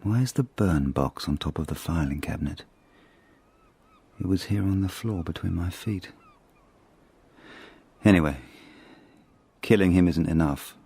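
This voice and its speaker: An actor playing a bitter, menacing man in a radio drama monologue, muttering in a husky voice. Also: a little slow, long pauses, warm tone, full character voice. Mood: brooding. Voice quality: very husky, low. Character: bitter English man ranting at his father.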